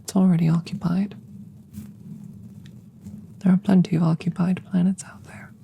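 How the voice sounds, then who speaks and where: A woman plays a calm, curious alien scientist in a whispered ASMR audio roleplay. Her voice is low and dark, with plenty of creak. She speaks in a measured, formal, gently halting way, close to the mic. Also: slight background noise. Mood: earnest, measured. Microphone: close microphone.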